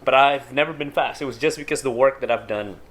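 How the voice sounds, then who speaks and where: A man's voice: medium pitch, fast, a bit bright, a little hiss or hum. A young man from Indonesia, an athlete guest who talks in an upbeat way, laughs often and speaks slightly broken English. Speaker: guest, athlete and personal trainer. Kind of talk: casual interview chat.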